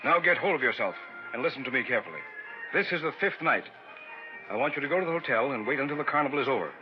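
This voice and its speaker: An American actor playing a pompous, scheming carnival professor in old-time radio horror, with grandiloquent, commanding patter. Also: male voice, mid-range voice, animated, very fast, natural voice. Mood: impatient, controlling. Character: pompous, scheming carnival showman professor burying a man alive. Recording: muffled vintage radio recording with music.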